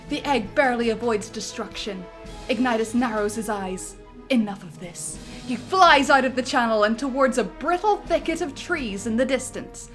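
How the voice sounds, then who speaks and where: A woman with a high, bright voice narrates an action script. She is theatrical and playful in a comedic dramatic style, with faint music underneath. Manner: theatrical, breaks easily into giggles.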